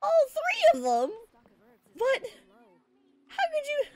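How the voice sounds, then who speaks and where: A woman acts out a needy, whiny sailor man in a game soap opera. The voice is high and swoops around with pleading disbelief. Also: wildly swooping pitch, slow pace, no room sound, full character voice. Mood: tearful, desperate. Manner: pleading, whining, incredulous. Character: whiny, desperate, lovelorn sailor man named Henry.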